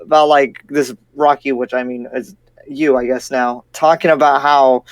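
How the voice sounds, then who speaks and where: A man playing a skeptical, cautious demon slayer in an improvised roleplay, speaking in a halting, incredulous way. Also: mid-range voice, steady tone, smooth, no room sound, close to an everyday voice. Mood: wry, doubtful. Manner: halting, incredulous, repeats himself. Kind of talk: improvised roleplay acting in casual speech.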